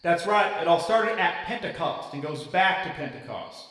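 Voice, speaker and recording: An actor playing an impassioned church camp preacher, folksy and emphatic, delivering a sermon to young believers. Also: male voice, fairly high pitch, expressive, normal speed, a bit bright. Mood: earnest teaching. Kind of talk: sermon, exhortation with call and response. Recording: very echoey hall, distant from microphone.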